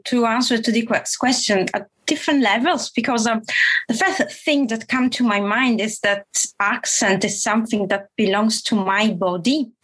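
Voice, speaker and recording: A woman with an Italian accent, a writer and panel guest, speaks slowly and carefully. She often pauses to search for words and fills the gaps. Her voice sits fairly high. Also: husky. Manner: slow, searching, many fillers. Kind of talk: casual chat.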